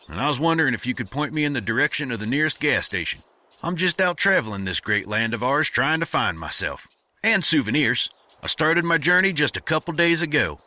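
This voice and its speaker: A man's voice: medium pitch, pushed and bright. An earnest drifter who fancies himself a cowboy, voiced by a man. He is polite, a little hesitant and folksy, rambling along in a western comedy audio play.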